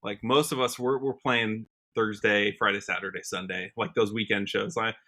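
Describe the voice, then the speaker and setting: A man with an American accent and a fairly low, bright, forward voice. He talks casually as an interview guest, reflective and a little rambling, working out his thoughts as he speaks. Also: steady tone, normal speed. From Washington state, United States. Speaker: guest, country singer-songwriter.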